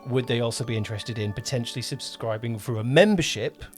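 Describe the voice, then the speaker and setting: Male podcast co-host, mid-pitched, casual and self-correcting, over music. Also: fast talker. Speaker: podcast co-host, audio drama producer.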